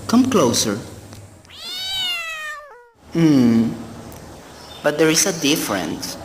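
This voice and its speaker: An actor in a radio play voicing an uneasy, haunted man, musing in a theatrical, deliberate way. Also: medium pitch. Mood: astonished, uneasy. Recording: long hall reverb, background noise.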